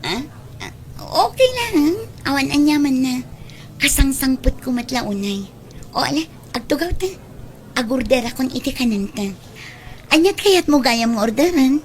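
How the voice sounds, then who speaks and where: A woman's voice: high voice, lively intonation, normal speed, pauses often, slight background noise. A woman acting a bold, forward young woman in an Ilocano radio drama, with an Ilocano Filipino accent. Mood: smitten, pleading.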